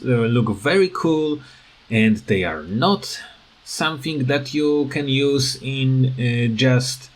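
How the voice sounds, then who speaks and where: A man giving unscripted, rambling commentary as a gaming video creator, halting often as he searches for words. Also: mid-range voice.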